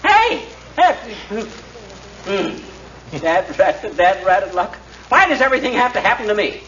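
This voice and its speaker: A folksy, hot-tempered grumbler of a man, high and animated, full of blustery slang. It comes from a muffled, noisy 1940s comedy broadcast. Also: measured pace, exaggerated voice. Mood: whining, forlorn. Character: grumbling, wisecracking, hot-tempered small-time husband. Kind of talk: 1940s radio comedy acting. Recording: muffled, noisy 1940s radio, distant, studio audience.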